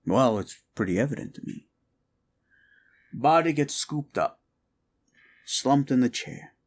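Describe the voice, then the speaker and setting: A man's voice: medium pitch, animated, lightly acted. A man plays a rumpled police detective in an interrogation roleplay. His mid-range voice rises and falls freely as he rambles and muses. He is polite and folksy on the surface, shrewdly needling underneath with leading questions. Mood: knowing, accusing. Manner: rambling, musing, halting, feigned casualness with leading questions. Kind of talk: roleplay audio drama, interrogation monologue addressed to listener.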